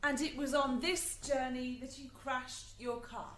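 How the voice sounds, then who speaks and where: A woman's voice: high-pitched, fairly even intonation, measured pace, fairly bright tone. A woman playing a formal, relentless devil's advocate questioning in an amateur radio courtroom drama. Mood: coolly probing. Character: crisp, relentless devil's advocate prosecuting souls. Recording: echoey room, faint noise.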